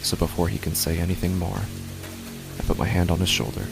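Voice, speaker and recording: A man narrating a first-person horror story in a slow, measured, hushed way over loud background music. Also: low voice, flat delivery, gravelly. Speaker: narrator and podcast host.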